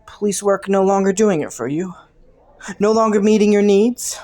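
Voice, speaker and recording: A woman's voice: mid-range voice, normal speed, close to an everyday voice. A woman playing a flirty, taunting underworld criminal in a roleplay audio, with a playful, teasing drawl. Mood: flirty, sly. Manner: playful, teasing drawl with frequent soft chuckles.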